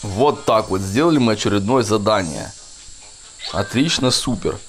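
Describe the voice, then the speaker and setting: A man hosts a kids' gaming video in a casual, chatty way, talking straight to the viewers. His pitch moves around in a lively way, and game music plays behind him. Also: moderate pace. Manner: chatty, addresses viewers often. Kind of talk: casual gameplay commentary for children.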